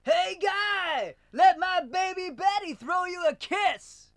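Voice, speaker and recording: A man voicing a villain boss who pilots a helicopter, taunting the hero in a shrill, shouted way. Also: lively intonation, slow pace, dry recording, studio quality, full character voice.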